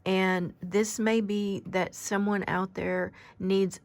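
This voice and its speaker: A very soft-spoken woman in her fifties, giving an unscripted oracle card reading with gentle, halting delivery and frequent pauses. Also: medium pitch, flat delivery, dry recording. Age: 50s.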